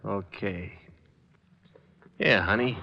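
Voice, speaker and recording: A man's voice: fairly low pitch, drawn-out words, gravelly, muffled recording, heavily acted. A man playing a menacing, short-tempered gangster boss in old radio style, curt and slangy. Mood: cool menace. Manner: curt, tough slangy talk. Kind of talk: old-time radio crime drama acting. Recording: noisy vintage radio recording.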